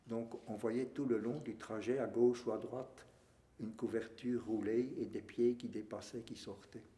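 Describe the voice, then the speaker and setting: A man, giving a measured and earnest personal testimony as a witness. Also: medium pitch, fairly even intonation, warm tone. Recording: echoey room.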